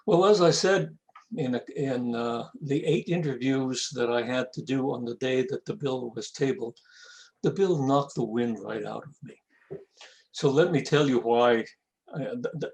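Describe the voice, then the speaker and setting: An older man with a gravelly, mid-pitched voice answers interview questions. He speaks earnestly and deliberately, often restarting his sentences. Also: a little mellow, low-quality recording. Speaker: association president, guest.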